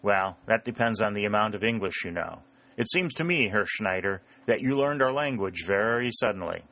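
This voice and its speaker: A man voicing a brash young rebel soldier in an audiobook, sharp, commanding and wry. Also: on the low side, lively intonation, normal speed, no echo. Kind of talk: audiobook character voice.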